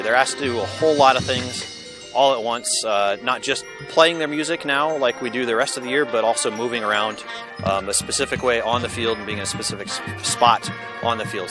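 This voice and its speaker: A man's voice: medium pitch, poor audio. An American man, a school band director, speaking off the cuff in a news interview. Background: music.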